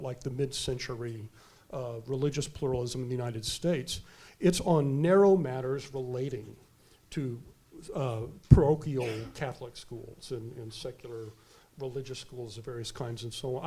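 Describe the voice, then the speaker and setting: A man with a loud, emphatic voice giving an unscripted keynote talk at a conference. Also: mid-range voice. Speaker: keynote speaker. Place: conference hall.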